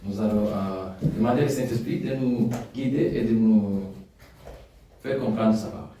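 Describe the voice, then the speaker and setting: A man's voice: lowish voice, moderate pace, slight background noise. Man preaching in echoey hall. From Rwanda. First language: Kinyarwanda. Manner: rises loud and emphatic on key points, drops soft in prayer. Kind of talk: sermon. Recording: echoey room, distant mic.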